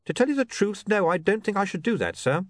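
An earnest young newspaperman, played by a male narrator in an audiobook. He is polite and deferential but will not give up, speaking in a high, lively voice that rises and falls a lot. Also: quick pace, dry recording, studio quality. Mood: politely stubborn. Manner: deferential yet persistent.

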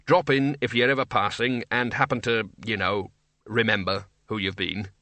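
Man voicing a blunt, bright-toned wizard dean. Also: on the low side, steady tone, moderate pace, no echo. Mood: brisk concern. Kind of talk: audiobook character voice.

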